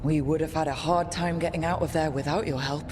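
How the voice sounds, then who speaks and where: A performer playing a steady, perceptive team leader in a fantasy video game, speaking directly and conversationally. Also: medium pitch, a little fast, background noise, close to an everyday voice. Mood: thoughtful. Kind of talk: naturalistic video game acting.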